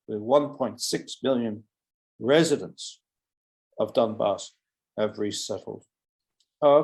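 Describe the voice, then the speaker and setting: A man speaking as a panelist in an online discussion, measured and a little hesitant, with fillers between his points. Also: medium pitch, darkish tone.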